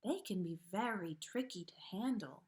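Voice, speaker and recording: A woman's voice: mid-range voice, animated, normal speed, darkish tone, close to an everyday voice. A woman voicing a dreamy, quirky teenage witch girl with an airy voice, in a read-aloud story. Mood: earnest.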